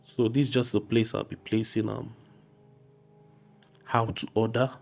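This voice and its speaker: A man with a slightly hoarse voice from a cold narrates a casual software tutorial, explaining step by step with frequent hesitation. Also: fairly low pitch, level tone, unhurried. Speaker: graphic design tutorial host. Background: faint music.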